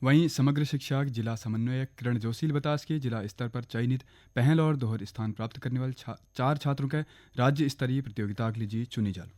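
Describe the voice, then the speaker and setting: A man's voice: mid-range voice, fairly quick, hardly any pauses, dark tone. A man with a Kumaoni accent reading a scripted regional radio news bulletin.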